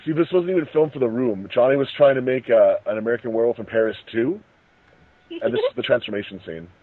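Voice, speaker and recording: Husky man on a tinny, echoey call. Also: mid-range voice, sing-song, fast talker. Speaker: co-host of a movie riffing show. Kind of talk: casual joking film commentary among friends. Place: remote voice call, indoors. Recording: tinny internet call, roomy echo.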